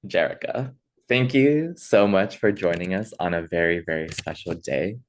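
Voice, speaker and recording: A low male-sounding voice hosting a conference conversation in an earnest, measured way. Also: a little hiss or hum. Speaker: conference host and interviewer. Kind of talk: facilitated plenary conversation, opening remarks.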